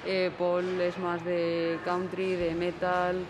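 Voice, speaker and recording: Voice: medium pitch, monotone, slow, noisy background. A band member describing the group's mixed style, hesitating often. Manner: hesitant, many fillers. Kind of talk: casual interview.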